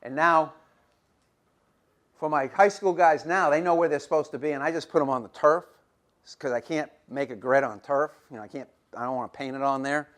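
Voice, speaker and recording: A man's voice: a little high, a little fast, fairly bright tone. A middle-aged man giving a football coaching clinic, folksy and repetitive, demonstrating drills as he talks while losing his voice.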